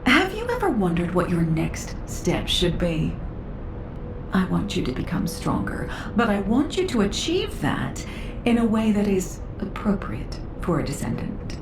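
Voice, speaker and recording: An actress playing a shrewd female researcher in a game, speaking in a measured, probing way. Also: medium pitch, roomy sound, noisy background. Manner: measured and probing, with lively inflection. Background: game music.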